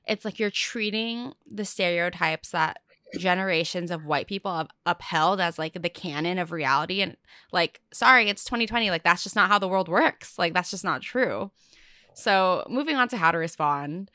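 A woman's voice: on the low side, pushed and bright, clear, resonant tone, dry recording, clean studio sound. A young American-born woman hosting a casual podcast chat, talking freely with many fillers. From United States. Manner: chatty, lots of fillers. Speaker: podcast host.

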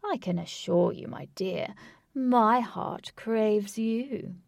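A woman voicing a theatrical, delusional male stalker who speaks in a grand, drawn-out way. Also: mid-range voice, creaky, no echo, clean studio sound.

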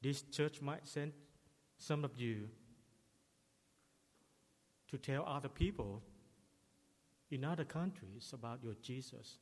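A middle-aged man whose first language is Thai preaches in English, choosing his words slowly and with care. His voice sits at an ordinary pitch for a man. The sound carries the long reverb of a church hall. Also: darkish tone. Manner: slow, measured delivery with non-native English phrasing. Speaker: pastor. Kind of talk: sermon.

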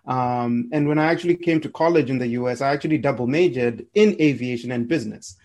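A man's voice: mid-range voice, fast, a little mellow. A man, a podcast guest telling his story in an upbeat, chuckling way, often restarting words. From Zimbabwe. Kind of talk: casual storytelling interview.

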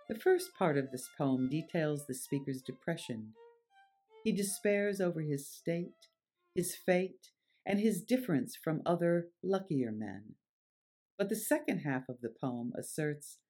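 Mellow man narrating introductions. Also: fairly high pitch. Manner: explanatory. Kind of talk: scripted narration.

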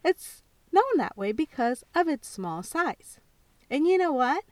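Woman from El Salvador, children's story narrator, high voice, animated, slow, dry slightly distant audio. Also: no echo. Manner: gentle, animated read-aloud to children. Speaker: children's podcast host and narrator. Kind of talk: bilingual storybook narration for kids.

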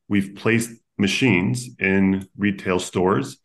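A Canadian man with a fairly low voice tells stories as a podcast guest. He sounds upbeat and talks in long, run-on sentences. He seems to be in his thirties. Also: takes it slowly, gravelly. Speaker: podcast guest, food-tech founder. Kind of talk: conversational storytelling.

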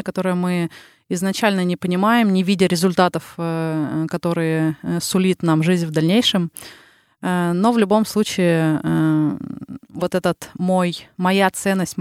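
A woman in her thirties from the Urals with a Ural Russian accent, a psychologist talking slowly and reflectively as a podcast guest, with frequent filler sounds. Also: fairly low pitch, darkish tone, clean tone, no room sound, clean studio sound. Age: 30s. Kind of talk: casual interview talk.

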